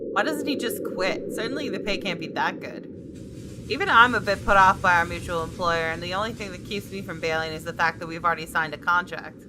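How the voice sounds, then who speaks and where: A woman's voice: on the low side, noisy background, natural voice. A woman voicing a wry, observant female designer who narrates a visual novel in a dry, reflective manner. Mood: exasperated.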